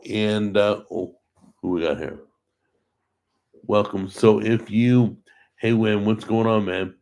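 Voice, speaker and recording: A middle-aged man with a hoarse voice, chatting casually with viewers as host of a live cooking stream. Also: on the low side, fairly even intonation, slow, a little mellow. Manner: rambling, chatty, greets viewers by name. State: hoarse from a paralyzed vocal cord. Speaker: host of a cooking channel livestream.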